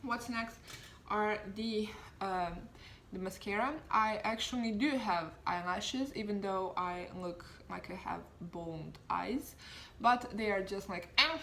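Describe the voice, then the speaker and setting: A woman's voice: medium pitch, slow, gravelly, bright, forceful tone, slight background noise. A woman in her twenties from Serbia, talking casually through a makeup tutorial in a chatty, self-deprecating way with lots of fillers. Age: 20s. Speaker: beauty vlogger.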